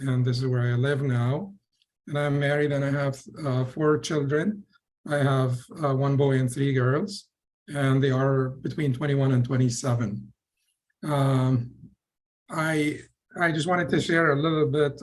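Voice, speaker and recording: A middle-aged man from Egypt with an Egyptian accent, a physician speaking on a video call with many pauses and fillers. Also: mid-range voice, low-quality recording. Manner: hesitant, many fillers and restarts. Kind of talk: personal talk in an online session.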